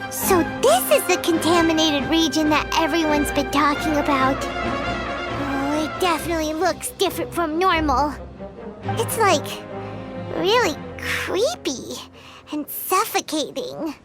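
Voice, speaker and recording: A woman voicing a tiny, childlike fairy companion in a video game, curious, excitable and exclamatory. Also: sits high, unhurried, loud music behind the voice. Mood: uneasy. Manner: bubbly, inquisitive, exclamatory.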